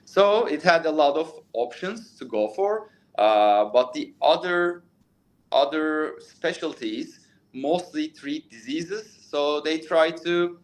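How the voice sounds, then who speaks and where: A man's voice: on the high side, expressive, slow, poor audio. A man in his thirties with a Turkish accent, a plastic surgeon answering interview questions in English at length, with many fillers and restarts. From Turkey.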